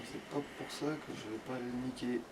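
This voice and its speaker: A man's voice: medium pitch, steady tone, moderate pace. A man chatting casually as he plays a game, grumbling and swearing as a let's player. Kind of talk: casual gaming commentary. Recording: distant mic, loud background noise.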